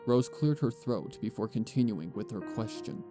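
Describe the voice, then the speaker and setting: A man's voice: fairly low pitch, animated, moderate pace. A man giving scripted storybook narration as the narrator of a fan fiction audio reading. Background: music.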